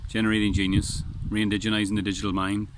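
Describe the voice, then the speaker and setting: Man, low voice, strong, earnest, rambling, outdoor beach recording, light wind noise. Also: monotone, quick pace, low-quality recording. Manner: rambling, earnest, frequent restarts. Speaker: vlogger. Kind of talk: casual spoken reflection.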